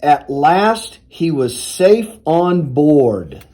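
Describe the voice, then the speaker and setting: A man reading a children's storybook aloud in a deliberate, expressive storytelling manner. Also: on the high side. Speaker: university athletics director reading aloud.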